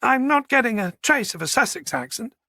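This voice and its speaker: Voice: mid-range voice, sing-song, a little fast, gravelly, pushed and bright, no echo, studio quality, pitched above the performer's own voice, full character voice. An audiobook narrator voicing a genteel, chatty woman with an old-fashioned, playful way of speaking. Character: genteel, chatty woman, a box office manager.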